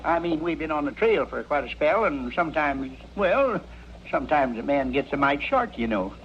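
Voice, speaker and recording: Scrawny old sodbuster, very high voice, rural American twang, blustery and wheedling, muffled radio western. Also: male voice, big pitch swings, moderate pace, a little hiss or hum. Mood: folksy, ingratiating. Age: older. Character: scrawny old backwoods trail drifter, brash and wheedling.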